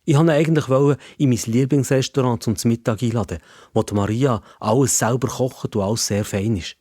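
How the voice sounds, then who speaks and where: A man's voice: mid-range voice, brisk, warm tone, no room sound, clean studio sound. A man with a Swiss accent telling a scripted story for children as narrator.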